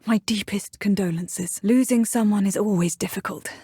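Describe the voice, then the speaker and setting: Woman acting a soft, husky, gentle character. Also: mid-range voice, moderate pace, no echo, studio quality, lightly acted. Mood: sympathetic, sombre. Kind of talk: video game character acting.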